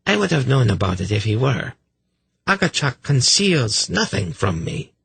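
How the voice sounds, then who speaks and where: A man voicing a cruel, imperious and suspicious high priestess in a fantasy audiobook, with cold, menacing, sarcastic delivery. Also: big pitch swings, moderate pace, vocal fry, fairly bright tone, husky, no room sound, heavily acted. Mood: accusing, sharp. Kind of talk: fantasy audiobook character acting.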